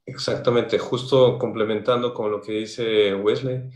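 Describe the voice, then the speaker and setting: A man from Peru adds to the panel in a measured way. His voice is low. It comes across a roomy video call. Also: fairly even intonation, darkish tone. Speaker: engineer, forum organizer.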